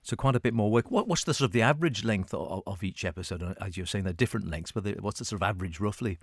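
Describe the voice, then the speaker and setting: Lowish-voiced male radio interviewer, very dry sound. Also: fast talker, dry recording. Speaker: radio arts show host, interviewer.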